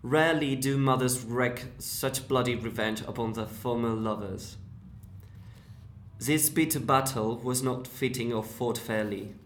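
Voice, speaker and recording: A man with a Spanish accent reads aloud in unhurried, measured phrases. His voice sits at an ordinary middle pitch. The sound carries a roomy echo. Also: slight background noise. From Spain. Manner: deliberate, with occasional restarts on words. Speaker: English learner reading aloud. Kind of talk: careful read-aloud narration.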